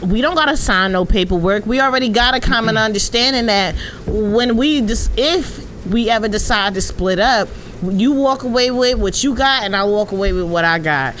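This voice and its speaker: A woman's voice: a little high, normal speed, a bit bright, slight background noise, rough recording. A woman in her late twenties talking candidly and emphatically as a podcast co-host in casual conversation. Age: late 20s. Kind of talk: candid casual panel chat.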